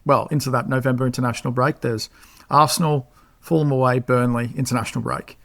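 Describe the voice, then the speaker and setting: A man's voice: medium pitch, steady tone, fast talker, creaky, fairly bright tone, no room sound, studio quality. A man co-hosting a football podcast, talking in a laid-back, matter-of-fact way. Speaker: podcast co-host. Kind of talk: casual football podcast chat.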